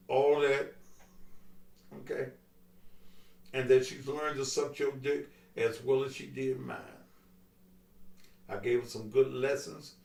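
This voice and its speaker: A man's voice: mid-range voice, vocal fry, reverberant, distant mic. A middle-aged man hosting a call-in talk show, taunting and mocking a caller in crude language. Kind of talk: heated call-in radio argument.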